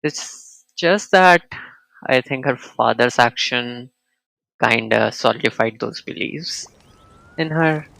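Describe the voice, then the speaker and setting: Creaky-voiced man musing over a game. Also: mid-range voice, sharp tone, no echo, low-quality recording. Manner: halting, musing, broken phrasing. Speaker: gaming streamer. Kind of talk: casual playthrough commentary.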